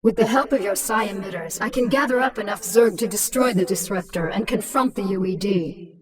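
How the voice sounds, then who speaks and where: A woman's voice: medium pitch, fairly quick, recorded from a distance, crowd noise, natural voice. An actress playing a cunning, commanding infested alien queen in a video game, sardonic and self-assured. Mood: coolly menacing.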